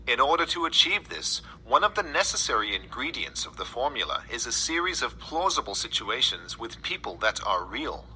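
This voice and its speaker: A man reads a scripted essay aloud in a very bright, forceful voice with a husky edge. His pitch moves in a lively way, and he phrases each point with deliberate emphasis. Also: rough recording. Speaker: reader reading an article aloud. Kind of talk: scripted read-aloud of a first-person essay.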